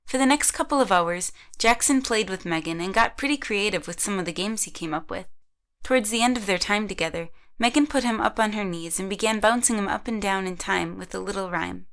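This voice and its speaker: A woman's voice: mid-range voice, fairly quick, fairly bright tone. A woman narrates a scripted audio drama. Her voice sits in the middle range, and she tells the story as a third-person narrator.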